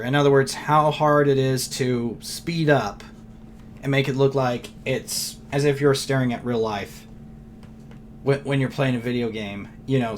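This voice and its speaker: Hoarse man rambling through a tutorial. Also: medium pitch, slight background noise. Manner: rambling, loose, thinks aloud with fillers. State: losing his voice, clearing throat. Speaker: software tutorial teacher. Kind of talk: casual screen-recorded tutorial.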